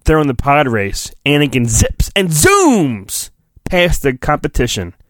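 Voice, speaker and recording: A man's voice: on the high side, big pitch swings, fairly bright tone, dry recording. A man hosting a solo podcast, giving a mock-dramatic reading of a children's storybook with theatrical emphasis. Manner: theatrical, drawn-out emphasis, flippant asides.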